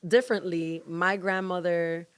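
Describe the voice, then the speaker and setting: A woman co-hosting a casual podcast, direct and a little teasing as she probes her guest. Her voice sits lower than most women's. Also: fairly even intonation, measured pace, clean tone. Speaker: podcast co-host.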